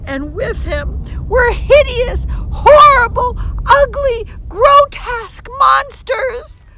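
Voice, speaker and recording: An older woman reading a children's story dramatically, with emphatic drawn-out words and chatty asides to her listeners. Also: extremely high pitch, lively intonation, heavy background noise. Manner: theatrical, emphatic, drawn-out adjectives. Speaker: teacher reading stories to children.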